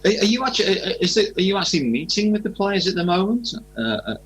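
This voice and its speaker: A man interviewing informally for radio, asking rambling questions in a hesitant, halting way. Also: high-pitched, big pitch swings, a little hiss or hum.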